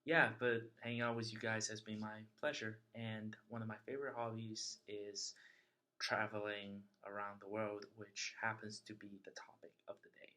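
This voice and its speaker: A young man from China telling a travel story in casual chat, chatty and halting with many fillers. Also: lowish voice, little pitch movement, sharp tone, poor audio. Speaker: interviewee sharing a travel story.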